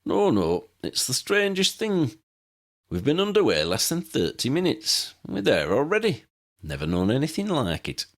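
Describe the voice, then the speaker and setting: Male reader as eccentric old aviator, folksy, chatty, rambling, raised pitch, lively swings, storybook character. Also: moderate pace.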